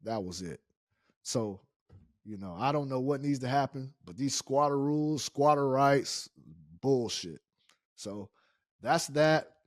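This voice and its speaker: A middle-aged American man hosting a casual story time, speaking in an animated, indignant and emphatic way. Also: medium pitch, unhurried, pauses often, dry recording, studio quality. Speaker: story-time show host.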